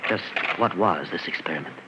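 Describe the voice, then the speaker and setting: A man's voice: low-pitched, fairly quick, lightly acted. An actor playing a deranged, grandiose sculptor who believes he is Death, in an old-time radio drama. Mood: smooth, sinister. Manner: theatrical, grandiose monologuing. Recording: dull, noisy vintage radio recording.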